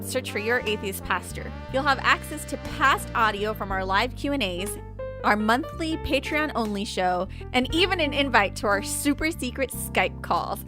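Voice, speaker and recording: A woman who produces and co-hosts a podcast, speaking conversationally to listeners and her co-host. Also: fairly high pitch. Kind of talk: casual chat and listener announcements.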